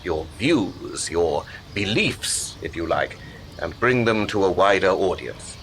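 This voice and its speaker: An actor in a radio play playing a secretive, cultured male promoter who speaks in a measured, erudite, persuasive way. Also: sits low, sing-song, a bit bright, a little hiss or hum, exaggerated voice. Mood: persuasive, intriguing.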